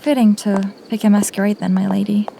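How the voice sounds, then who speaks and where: An actress playing a guarded undercover inquisitor in a fantasy audio drama, with a polite, careful way of speaking. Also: female voice, mid-range voice, little pitch movement, brisk, gravelly, mellow, slight background noise, lightly acted. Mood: polite, wary. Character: guarded undercover female inquisitor posing as businesswoman.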